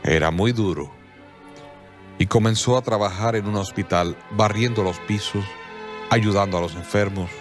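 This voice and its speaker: A man narrating a radio drama in a measured, solemn storytelling manner. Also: on the low side, faint music behind.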